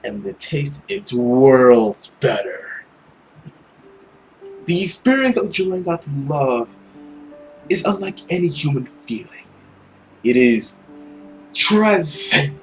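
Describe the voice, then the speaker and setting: A man voicing an arrogant, malicious, centuries-old villain with mischief in him, in a theatrical visual novel reading with drawn-out emphasis. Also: on the high side, very animated, soft background music, rough recording. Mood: rapturous, sly.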